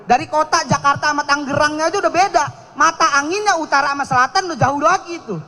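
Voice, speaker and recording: A man with a very high voice performs stand-up on a contest stage. He talks in a cocky, teasing way and mocks people with quick jokes. Laughter from the crowd comes in behind him. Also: sharp tone. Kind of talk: stand-up comedy set. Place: stage at a live comedy contest. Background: audience laughter.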